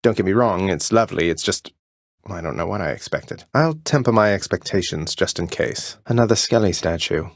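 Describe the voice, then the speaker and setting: A low, close-up voice with no room sound, belonging to a rebellious young prince of the underworld in a video game. He is politely sardonic and understated, delivering his lines with dry wit. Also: animated, moderate pace, no echo.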